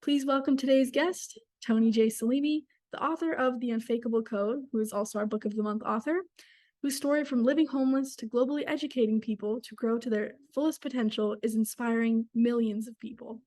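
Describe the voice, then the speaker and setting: A woman hosting an interview, reading a formal introduction and asking questions in a measured way. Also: on the high side, fairly even intonation, dark tone. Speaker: interview host.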